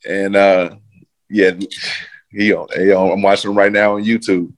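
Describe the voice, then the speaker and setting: A man with a very low voice talks about his football days in a loose, easygoing way. He leans on fillers as he goes. The recording is dry and close. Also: little pitch movement, measured pace, dry recording. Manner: laid-back, loose, many fillers. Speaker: former pro football player, guest. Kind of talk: casual interview chat.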